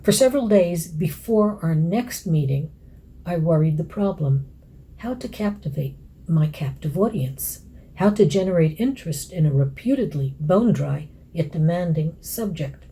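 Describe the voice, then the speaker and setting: Female academic, dark mellow tone with some husk, reading a scholarly essay aloud. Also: low voice, expressive, normal speed, husky, low-quality recording. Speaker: academic author and lecturer.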